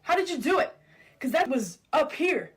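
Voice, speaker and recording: Female, twenties, high voice, informal conversational social-video delivery. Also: big pitch swings, moderate pace. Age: 20s. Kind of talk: casual direct-to-camera chat.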